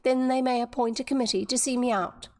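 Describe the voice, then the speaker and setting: Woman voicing a proud, snappish schoolgirl, pitched up. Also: animated, normal speed, darkish tone, no room sound, studio quality. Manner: snappish, cutting. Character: proud, angry schoolgirl with a bitter, defiant temper.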